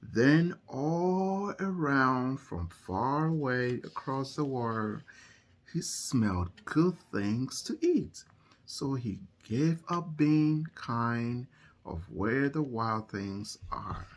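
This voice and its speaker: A woman reading a picture book to children at bedtime in an expressive, dramatic way, drawing out certain phrases. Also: a bass voice. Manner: dramatic, with emphasized words and drawn-out phrases. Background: music.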